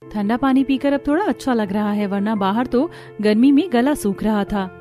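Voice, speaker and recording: Cartoon village girl, grown, mild and accepting, high-pitched, music underneath, a woman acting. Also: moderate pace, warm tone, lightly acted. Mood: content. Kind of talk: Hindi cartoon dialogue.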